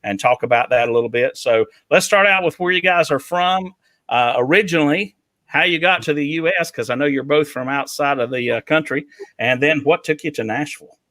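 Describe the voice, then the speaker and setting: An upbeat man hosts a live talk show, chatting casually with guests and viewers. His voice is bright and forward, recorded dry and close with no room sound around it. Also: on the high side, brisk, no echo. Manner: upbeat, joking, with many fillers. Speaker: host.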